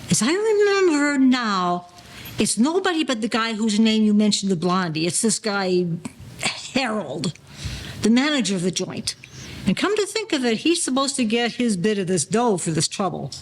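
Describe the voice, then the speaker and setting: A man's voice: high-pitched, very animated, normal speed, slight background noise. A man playing a wary old-time gangster in an audio play, speaking in formal, slangy phrases. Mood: reflective. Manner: slangy, formal present-tense gangster talk.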